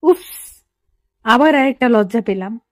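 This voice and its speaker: A woman acts a shy young woman in love in an audio romance. Her voice is high and dry. She sounds bashful and emotional as she speaks and narrates. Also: expressive, a little slow, dry recording. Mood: shy, bemused. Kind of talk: dramatized first-person love story narration.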